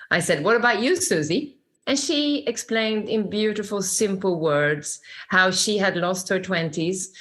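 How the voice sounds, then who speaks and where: Older Swedish-accented woman, low voice, warm reflective storyteller; interview guest. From Sweden.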